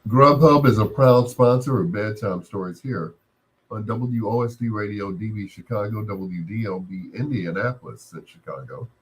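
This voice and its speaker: Low-voiced man hosting late-night radio. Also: normal speed, low-quality recording. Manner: playful, teasing, familiar with listeners. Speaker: radio DJ and host of a late-night music show. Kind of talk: casual late-night radio patter, livestreamed.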